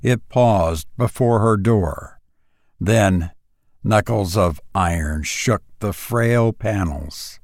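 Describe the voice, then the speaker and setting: A man narrating a literary horror story dramatically, in a grave, deliberate manner with drawn-out pauses. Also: lowish voice, a little mellow, dry recording, studio quality. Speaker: audiobook reader.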